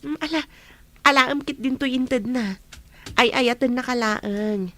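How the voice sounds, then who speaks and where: A woman's voice: high voice, unhurried, fairly bright tone. An actress playing a young woman who is a probing, secretly jealous best friend in a radio drama. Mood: probing, reproachful.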